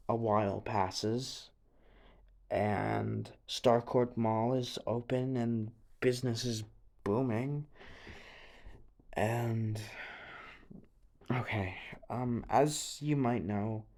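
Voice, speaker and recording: A young man playing an anxious, haunted teenage boy in an audio drama monologue, speaking haltingly with stammers, sighs and a creaky voice. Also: on the low side, steady tone, a little mellow, exaggerated voice. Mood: subdued, wistful. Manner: halting, stammering, sighing, nervous breathy laughs.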